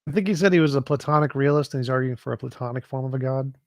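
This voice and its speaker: A man in a debate discussion, explaining points quickly with dry jokes. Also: mid-range voice, dry recording. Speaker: debate participant. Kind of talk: casual debate talk.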